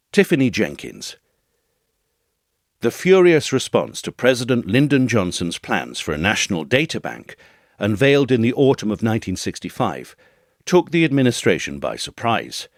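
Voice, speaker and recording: A man with a low voice narrates a serious scripted essay. His delivery is measured and formal, yet the melody moves widely with dramatic phrasing. The sound is very dry, with no room around it. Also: wildly swooping pitch, no echo.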